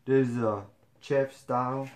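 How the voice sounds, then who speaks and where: A man, showing kitchen gear in a casual home video, talking haltingly with many fillers. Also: mid-range voice, steady tone, a little mellow. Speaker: fitness vlogger. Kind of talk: casual product demo. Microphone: camera on counter.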